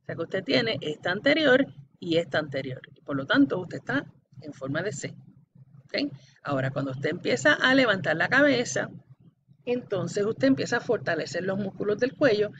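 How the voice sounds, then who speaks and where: A woman's voice: medium pitch, very animated, moderate pace. A woman giving an explanatory anatomy lecture in a didactic way, addressing the student directly and often checking for understanding. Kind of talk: explanatory classroom-style lecture. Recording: dull lo-fi audio with background noise.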